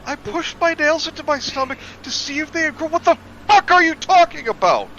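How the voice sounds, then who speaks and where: A man in casual joking chat, animated and quick to jump in with questions. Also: very high voice, highs cut off, a little hiss or hum.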